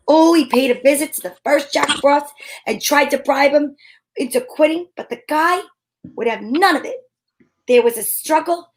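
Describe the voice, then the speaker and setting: A high-pitched, wry female detective played by a woman tells her case in old-time radio style. She is brisk and bossy, and there is light noise and clicking on the recording. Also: poor audio. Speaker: narrator and lead actor.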